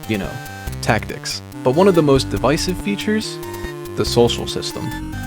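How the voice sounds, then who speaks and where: A man reading a scripted video essay in a dry, wry, measured way. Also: medium pitch, big pitch swings. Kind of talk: scripted narration. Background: background music.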